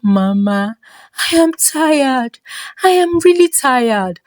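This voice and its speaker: Woman voicing a pleading young Nigerian wife, high-pitched. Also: animated, takes it slowly, a little mellow, no room sound, studio quality. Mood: weary, tearful. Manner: pleading, emotional. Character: distressed young pregnant village wife, weary and pleading.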